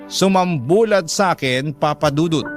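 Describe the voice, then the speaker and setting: A man's voice: a little high, lively intonation, a little slow. A man with a Filipino accent giving a dramatic first-person narration of a radio story. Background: background music.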